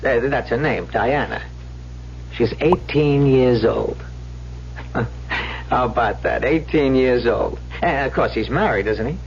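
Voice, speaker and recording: An actor playing a cocky, greedy small-time swindler with slangy, wheedling talk in an old-time radio drama. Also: male voice, mid-range voice, a little slow, close to an everyday voice. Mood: sly, gloating. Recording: muffled, hissy old radio broadcast recording.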